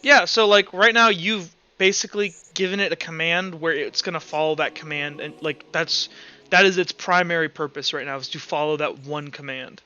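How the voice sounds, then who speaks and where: A man acts as game master for a casual tabletop session. His voice sits fairly high and is very sharp and forceful. The recording is somewhat dull and lo-fi. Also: fairly even intonation, normal speed, clean tone. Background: music.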